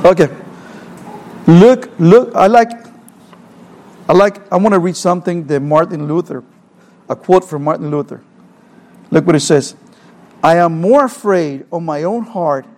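A man's voice: fairly high pitch, a little mellow. A middle-aged man from El Salvador with a Salvadoran Spanish accent, preaching a sermon earnestly, asking rhetorical questions and repeating phrases.

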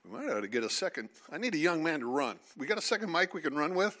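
A man leading a seminar before a live audience, talking in an animated, teasing way and calling on listeners. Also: a little high, fast. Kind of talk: lively creationist talk with audience.

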